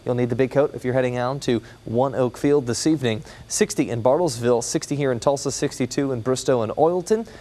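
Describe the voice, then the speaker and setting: American guy doing the tv weather, medium pitch, explaining the forecast off the cuff. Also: warm tone. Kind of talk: ad-libbed broadcast weather report.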